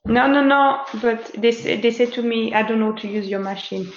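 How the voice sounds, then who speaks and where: A woman's voice: a little high, normal speed, lo-fi sound, a little hiss or hum. Woman guest on a roomy laptop call. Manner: short, direct questions and plain phrasing. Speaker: interview guest. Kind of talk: casual video call conversation. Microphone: laptop video call.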